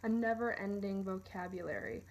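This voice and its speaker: A woman's voice: medium pitch, moderate pace, rough recording. A woman reading a prepared academic argument as a scripted student narration. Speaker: student presenter.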